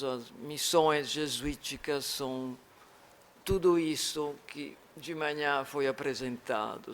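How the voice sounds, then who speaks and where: A man lecturing in an academic talk with an Italian-tinged Rio accent, pausing often and restarting his phrases. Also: mid-range voice, a bit bright, a little hiss or hum. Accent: Italian-tinged Rio Portuguese. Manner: halting, with frequent restarts and fillers. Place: lecture hall.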